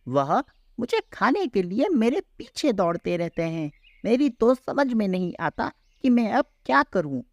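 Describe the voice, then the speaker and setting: A woman voicing a skinny, harassed village cow in a children's cartoon story, with plaintive, expressive delivery. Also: medium pitch, measured pace, no room sound. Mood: complaining.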